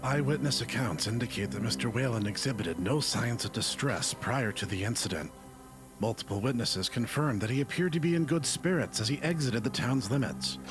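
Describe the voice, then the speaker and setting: A man playing a detached researcher, narrating grim lab findings as a formal, measured horror report. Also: mid-range voice, natural voice. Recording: voice-over under clear background music. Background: music.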